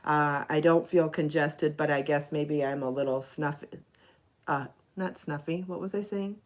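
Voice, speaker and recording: Middle-aged woman, kinda stuffy nose, low-ish voice, chatting on a live stream. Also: fairly even intonation, normal speed. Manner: chatty, warm greetings to viewers by name. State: a little stuffy from allergies. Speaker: knitting and crochet designer hosting a live podcast. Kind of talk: casual live-stream chat, greeting viewers.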